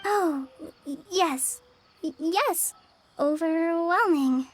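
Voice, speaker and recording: A woman's voice: very high voice, drawn-out words, exaggerated voice. A woman voicing a shy, anxious young forest ranger in a video game, girlish, hesitant and easily flustered. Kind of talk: video game character acting. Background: background music.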